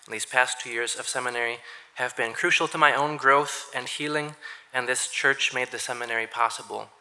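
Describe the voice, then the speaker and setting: Young man from Minnesota, earnest church speech, dry humor, very bright tone. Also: mid-range voice, fairly quick, poor audio. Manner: earnest, frequent throat-clearing, dry understated humor. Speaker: seminary graduate giving a speech. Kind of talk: heartfelt graduation speech to a church. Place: church hall with audience.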